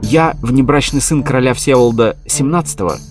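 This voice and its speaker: Guy voicing a bored rat with a cold, super formal, deadpan. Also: medium pitch, a little fast, soft background music, close to an everyday voice.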